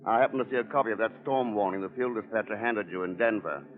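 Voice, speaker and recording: An actor as a low-voiced, wry investigator. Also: male voice, quick pace, soft background music, lightly acted. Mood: watchful. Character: cool, wry, watchful male investigator hero. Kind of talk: vintage radio drama acting. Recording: muffled, band-limited old radio recording.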